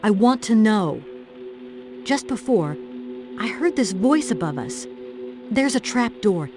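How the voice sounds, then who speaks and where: A determined, independent young heroine from a video game. She talks firmly at a middling pitch, sure of herself, with music clearly playing behind her. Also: big pitch swings, takes it slowly. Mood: resolute. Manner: firm, self-assured. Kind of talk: video game cutscene acting.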